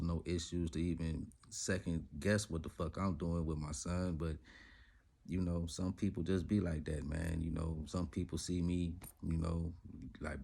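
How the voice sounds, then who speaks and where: Laid-back gravelly deep-voiced man in his forties. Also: a little mellow. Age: 40s. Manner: laid-back, rambling, laughs often, slangy. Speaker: vlogger, father. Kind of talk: casual vlog talk to camera.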